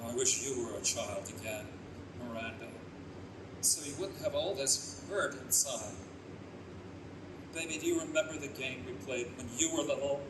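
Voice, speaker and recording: A man acting an anguished, wisecracking father reborn as a baby, excitable and theatrical in a live old-time radio play. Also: mid-range voice, normal speed, pauses often, close to an everyday voice. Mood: tender, wistful. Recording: echoey live stage, distant mic, clear noise.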